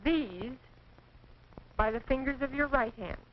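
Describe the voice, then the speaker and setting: An American woman reading scripted 1940s training film narration in a precise, measured, instructive manner. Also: high voice. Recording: dull, noisy 1940s film soundtrack.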